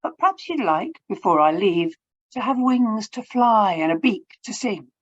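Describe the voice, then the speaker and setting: This is a kindly wandering sorceress from a storybook, put on by a woman reading aloud. She coaxes gently and softly, with a slightly breathy tone. The audio is muffled. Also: mid-range voice, lively intonation, moderate pace, voice pushed up, close to an everyday voice. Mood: coaxing.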